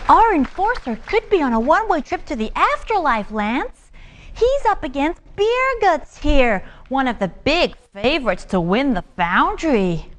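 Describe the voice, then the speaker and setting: A woman's voice: high-pitched, sing-song, a little slow. A woman playing a perky, gushing co-host of a futuristic TV race show, with theatrical, exaggerated enthusiasm. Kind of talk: theatrical game show presenting.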